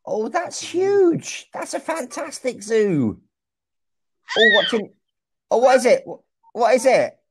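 Young guy on a video call w/ earphone mic, talks real slow to a kid, voice bounces all over. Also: extremely high pitch, a little hiss or hum. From England. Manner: slow, clear and lively, often exclaims and laughs. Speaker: online English teacher. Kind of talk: slow, encouraging chat with a young child. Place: small room indoors. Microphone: video call with wired earphone mic.

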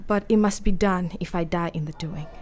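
A woman playing a frail, frightened young gentlewoman in a radio play, gentle and brave. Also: lowish voice, brisk, slight background noise, close to an everyday voice. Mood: weary, fearful. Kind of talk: radio play acting, partly diary narration.

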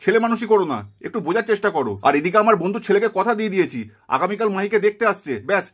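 A man's voice: sits high, expressive, racing, fairly bright tone, husky, exaggerated voice. A man voicing an insistent village family man in a puppet drama. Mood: firm. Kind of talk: dramatized Bengali puppet-show dialogue.

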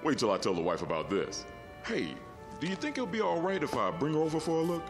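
A man's voice: fairly high pitch, huge pitch swings, quick pace. An actor playing a chatty, folksy working man who is easily impressed, in a 1960s TV drama. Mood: amazed. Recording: vintage TV soundtrack with music underneath.

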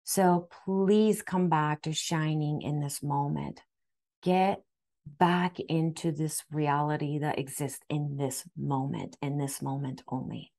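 Low-voiced woman, Japanese native. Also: steady tone, slow pace. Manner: soft, earnest, with frequent restarts and fillers. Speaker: spiritual astrology guide. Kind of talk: gentle spiritual talk to camera. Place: home room indoors. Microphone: webcam framing, seated facing camera.

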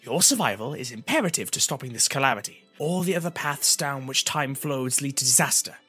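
A grave time-travelling seer, acted by a man in a fantasy audiobook. He speaks in formal, solemn phrases with a husky edge, lifting and pressing his words as he warns of doom. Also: mid-range voice, animated, fairly quick, pushed and bright, faint music behind, pitched above the performer's own voice. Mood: urgent, grave.

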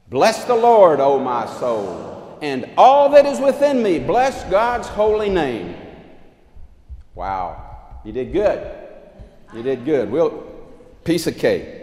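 An older man delivering a sermon with a lively, swooping voice that rises high and drops low. He moves about the chancel and raises his arms as he preaches. The sound is roomy, with a long church reverb, heard from a distance. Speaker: pastor. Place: large church sanctuary.

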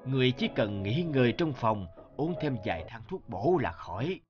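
Cartoon court doctor male actor, respectful and unruffled, gently reassuring, low-key delivery over music. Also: sing-song, moderate pace, dull audio, lightly acted. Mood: calm, respectful. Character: calm, respectful male royal physician. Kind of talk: animated folk-tale dubbing.

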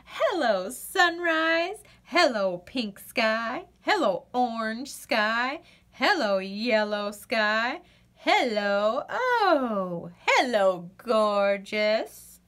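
Chatty young female storybook bird, played by a woman reader: high, bright, gushing, easily distracted, swooping pitch. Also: very animated, full character voice. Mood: cheery. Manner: gushing, rambling, drawn-out exclamations. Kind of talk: storybook read-aloud.

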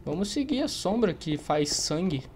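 A man's voice: high voice, sing-song, normal speed, darkish tone. A young Brazilian man giving casual, slangy, joking gameplay commentary in a rambling way into a close desk microphone. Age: young adult. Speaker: gaming streamer. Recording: noisy, game ambience and typing. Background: game ambience, keyboard typing.

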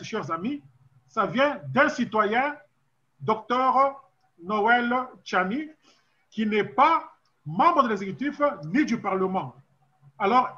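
A middle-aged man hosting a formal political debate over a video call, speaking in a measured way with frequent hesitations. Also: extremely high pitch, sharp tone, lo-fi sound. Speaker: talk show host.

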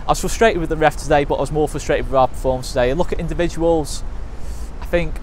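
A man's voice: a little high, fast, a little mellow. A young man, giving a blunt, frank post-match review to camera outside a stadium, with lots of fillers. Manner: blunt, frank, fan's frustrated verdicts with many fillers. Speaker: football fan channel presenter. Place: outdoors by a stadium car park. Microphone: camera mic, chest-up framing. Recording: faint traffic noise outdoors. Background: faint passing cars.

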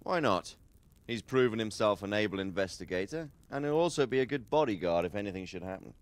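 An actor playing a skeptical practical lord. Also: male voice, fairly low pitch, lively intonation, a little fast, lightly acted. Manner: skeptical, questioning. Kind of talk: video game cutscene dialogue.

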